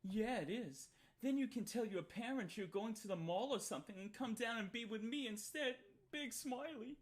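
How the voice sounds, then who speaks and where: A woman informally reading a chat log out loud, play-acting the lines with mock sweetness. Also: a little high, normal speed. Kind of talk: informal read-aloud of a chat log with asides.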